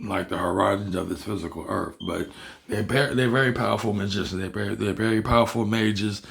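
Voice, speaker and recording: A man from Barbados with a Bajan accent, hoarse from losing his voice, explaining a topic in a rambling, repetitive casual monologue as a video host. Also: fairly low pitch, steady tone, a little fast, fairly bright tone. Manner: rambling, repeats himself and restarts words. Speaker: hoodoo practitioner and video host.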